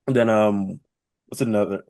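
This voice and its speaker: A man's voice: on the low side, steady tone, normal speed, a little mellow, no room sound. A man chatting casually as a podcast co-host, emphatic and repeating phrases for stress.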